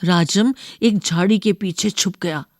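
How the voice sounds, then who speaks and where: A woman's voice: medium pitch, measured pace, dry recording, studio quality. A woman narrator reading a scripted story for schoolchildren.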